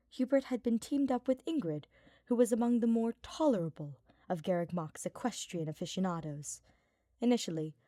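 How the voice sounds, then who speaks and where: A woman's voice: lowish voice, warm tone, dry recording, studio quality. A woman reading a fantasy story as scripted audiobook narration. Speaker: podfic reader.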